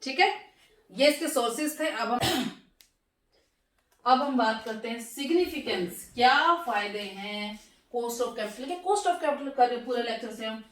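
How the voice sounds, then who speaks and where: A hoarse woman teaching finance in a classroom-style lecture, asking questions and answering them herself and slowing down over English terms. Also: fairly high pitch, expressive, distant mic. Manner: slows down to spell out English terms. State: hoarse, sore throat. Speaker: economics teacher. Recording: echoey room, voice from off mic.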